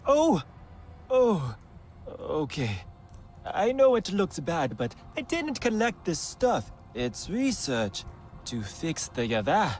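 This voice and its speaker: An excitable young alien researcher for a video game. His pitch swings widely as he talks, though the pace is unhurried. He is earnest and halting, with faint music behind him. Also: full character voice. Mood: flustered, earnest. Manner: halting, animated, eager. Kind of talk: video game character acting.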